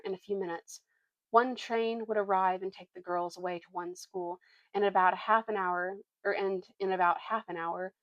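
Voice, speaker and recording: A woman reading a children's novel aloud as expressive storytelling narration, now and then correcting a slip. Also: mid-range voice, no echo, highs cut off.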